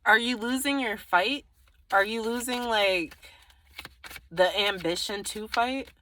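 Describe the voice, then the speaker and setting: A woman's voice: a little high, slow pace, bright, forceful tone, a little hiss or hum. A woman giving a casual, improvised tarot reading to the viewer, thinking aloud in a rambling way, often trailing off and circling back. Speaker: tarot reader.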